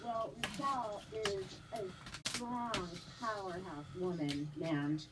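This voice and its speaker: A woman actress being interviewed on a live stream, chatty and animated, laughing often. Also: mid-range voice, slow. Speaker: guest actress. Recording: distant mic with faint noise.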